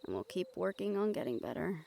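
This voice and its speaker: A woman's voice: fairly low pitch, very animated, fast talker, gravelly, slight background noise, full character voice. A woman playing a young monster hunter in an intimate audio roleplay, casual and sweary, wry, teasing and tender with a partner. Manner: casual, wry, sweary, breaks into soft chuckles. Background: music under the voice.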